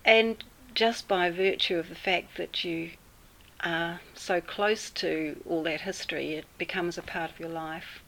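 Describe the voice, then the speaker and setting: A woman with a New Zealand accent telling a personal story in an interview, unhurried and full of pauses. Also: on the low side, fairly even intonation, creaky, fairly bright tone, low-quality recording. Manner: unhurried, with many fillers and pauses. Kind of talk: casual storytelling.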